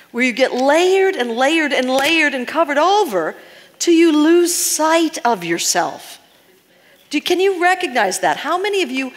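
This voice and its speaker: A woman's voice: sits high, fairly bright tone. Woman seminar leader in echoing hall. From United States. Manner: earnest, engaging the audience with questions. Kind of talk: live workshop teaching. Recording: long reverb of a seminar hall.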